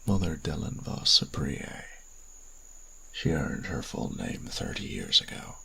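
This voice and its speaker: A man playing a formal, stern vampire priest in an audio drama, speaking in measured, archaic phrases with a raspy, breathy voice. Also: sing-song, bright, forceful tone, a little hiss or hum, exaggerated voice, low-quality recording. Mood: cool, formal. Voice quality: raspy, gravelly, breathy low voice. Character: formal vampire priest, male agent of a church, stern and condescending. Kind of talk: scripted audio roleplay drama.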